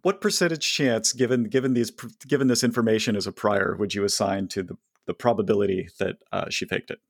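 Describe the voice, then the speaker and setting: A man's voice: on the low side, quick pace, vocal fry, husky, no room sound. A man co-hosting a podcast, reading listener questions aloud and joking dryly. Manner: dry, wry, reads listener letters aloud. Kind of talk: casual podcast chat.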